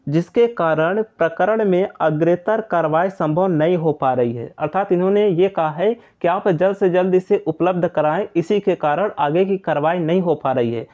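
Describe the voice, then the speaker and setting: A high-pitched man explains updates informally to his viewers. He repeats his points in a reassuring way. The audio is narrow and tinny, like a phone line. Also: normal speed, hardly any pauses. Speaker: exam news presenter.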